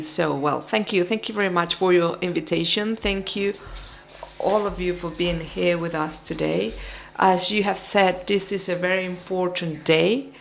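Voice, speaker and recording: A woman from Peru speaking slowly and deliberately at a conference. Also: on the low side, vocal fry. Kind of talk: conference speech.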